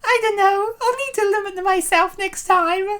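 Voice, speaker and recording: A very high, girlish voice put on by an amateur dubber for a reluctant young woman in a comic dub. She is hesitant and questioning, protesting as she worries. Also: normal speed, fairly bright tone. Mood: hesitant, worried.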